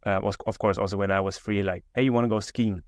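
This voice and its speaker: A man's voice: lowish voice, fast, no echo, clean studio sound. A man in his twenties with a German accent, chatting casually as an interview guest over a video call, with lots of fillers and restarts. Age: 20s. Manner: relaxed, many fillers and restarts. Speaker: guest entrepreneur. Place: indoor room.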